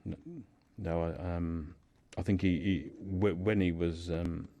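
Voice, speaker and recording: Deep-voiced man, halting interview guest. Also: fairly even intonation, gravelly, mellow, no room sound. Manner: hesitant, halting, repeats words. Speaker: guest discussing a writer's life and books. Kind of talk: radio discussion.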